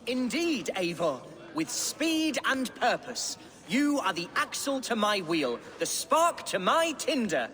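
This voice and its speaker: A male actor playing a foppish, excitable, self-important young Anglo-Saxon nobleman in a video game, florid and theatrical. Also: extremely high pitch, slow, big-room sound, exaggerated voice. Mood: eager, florid.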